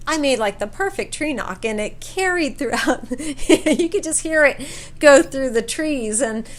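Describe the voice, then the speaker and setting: A woman's voice: sits high, lively intonation, measured pace. A woman telling a personal story casually, acting out bits of dialogue and repeating words for emphasis. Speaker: storyteller sharing a personal camping experience.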